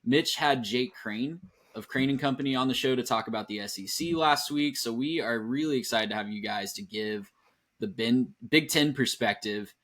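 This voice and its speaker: A man's voice: medium pitch, normal speed, a bit bright. A man hosting a sports podcast, welcoming guests and asking questions in upbeat conversational patter. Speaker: podcast host.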